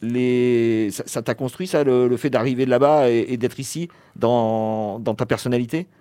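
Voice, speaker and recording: Male radio host, medium pitch, joking and steering the questions; dry studio sound. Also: steady tone, mellow, smooth, no room sound. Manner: joking, leads with quick questions. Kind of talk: hosting a live interview.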